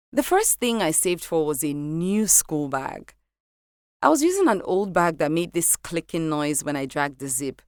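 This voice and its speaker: A young Nigerian woman tells a scripted personal story in a low voice. Her pitch swings up and down as she narrates. It is a dry, close recording with no room sound. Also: dry recording. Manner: lively, animated delivery with light laughs. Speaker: podcast narrator.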